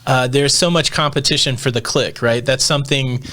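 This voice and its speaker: A man's voice: mid-range voice, fairly even intonation, a little fast, bright, forceful tone. A man hosting a podcast interview who asks long, rambling questions. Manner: thoughtful, rambling questions with fillers. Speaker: podcast host. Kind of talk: conversational interview.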